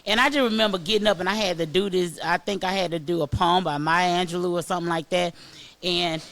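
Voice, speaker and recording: High, raspy man. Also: steady tone, fast, fairly bright tone. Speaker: guest, high school alum. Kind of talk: casual reminiscing chat.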